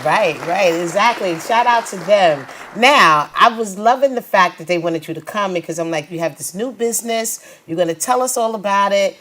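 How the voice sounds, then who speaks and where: A woman's voice: medium pitch, normal speed, hardly any pauses, fairly bright tone, slight background noise. A woman hosting a promotional interview show, speaking in a chatty way and addressing people directly. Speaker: TV show host.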